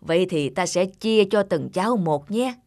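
A woman's voice: on the low side, lively intonation, normal speed, darkish tone. A woman voicing a wise, kindly old grandfather monkey in a children's cartoon, patiently instructing. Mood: gentle. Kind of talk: animated children's fairy tale acting.

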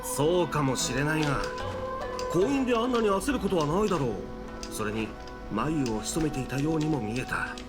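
A man's voice: on the high side, lively intonation, drawn-out words, music in the background. A man voicing a curious, doubtful townsman gossiping in an anime game.

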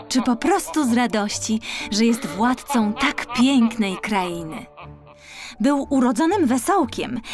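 High-voiced woman narrating a children's fairy tale. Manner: expressive storytelling, carefully phrased. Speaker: audiobook narrator.

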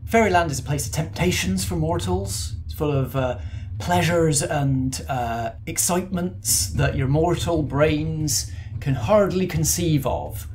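A man's voice: a little high, expressive, a little slow, hardly any pauses, darkish tone, slight background noise. A man voicing a fussy, polite ghost train conductor who is genteel, rambling and easily offended. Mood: helpful.

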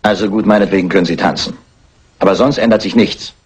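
A man's voice: low voice, steady tone, quick pace, muffled recording, close to an everyday voice. A man playing a stern, insistent police inspector in a crime drama, clipped and authoritative. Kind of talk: German crime film dub acting.

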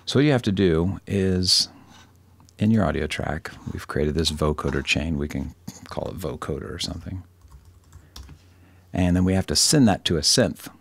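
A man presenting a casual screen-recorded software tutorial, thinking aloud in an informal, self-deprecating way. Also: low-pitched, normal speed, vocal fry, pauses often.

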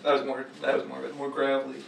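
A man's voice: medium pitch, completely flat delivery, rapid-fire, creaky, noisy background. A young man in costume chatting casually and laughing at himself while he acts out moves in front of a green screen. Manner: giggly and self-mocking, chatty. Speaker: cosplayer filming fighting-game moves. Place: green screen room indoors. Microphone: far camera mic. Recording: echoey room, mic set far off.

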